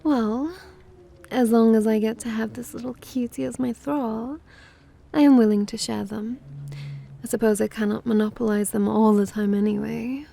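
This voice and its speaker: A woman's voice: fairly high pitch, steady tone, moderate pace, gravelly, mellow. A woman playing a playful, smug and possessive vampiress in an ASMR roleplay, with a dramatic, coy delivery.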